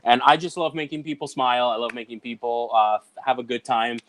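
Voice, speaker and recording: A man from Ecuador, guest on a casual comics chat, upbeat and animated in how he talks. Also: mid-range voice, brisk, low-quality recording. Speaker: guest co-host.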